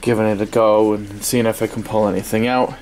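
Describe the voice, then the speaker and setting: A man giving a casual, halting, offhand unboxing review at a desk in a small indoor workspace. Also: lowish voice. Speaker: product reviewer. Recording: steady noisy room ambience.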